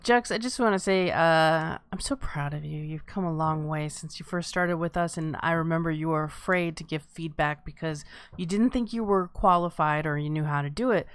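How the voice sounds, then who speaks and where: A woman's voice: deep voice, normal speed, hardly any pauses, clear, resonant tone. A woman hosting an informal live script table read, moderating feedback from the readers.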